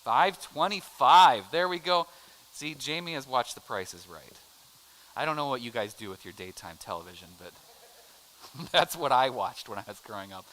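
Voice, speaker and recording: A man's voice: medium pitch, expressive, normal speed, bright, forceful tone, low-quality recording. A middle-aged American man teaching a casual adult Bible class, chatty and joking as he quizzes the room. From United States. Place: church classroom.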